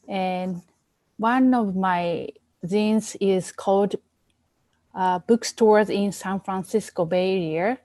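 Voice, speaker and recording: A woman from Japan presenting her zines on a video call, speaking carefully and softly with pauses. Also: fairly low pitch, no echo. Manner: careful, soft-spoken, with pauses. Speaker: zine exhibitor.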